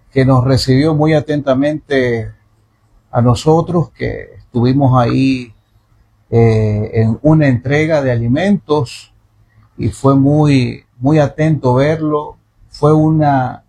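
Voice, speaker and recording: A man's voice: mid-range voice, dark tone, husky. A man hosting a radio interview, who introduces his guest in an effusive, formal way. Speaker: radio host.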